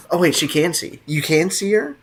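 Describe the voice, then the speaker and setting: A man's voice: on the high side, moderate pace, husky. A young man streaming a video game, chatting casually with viewers in a laid-back, slangy way and laughing often. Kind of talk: casual chat reading live chat.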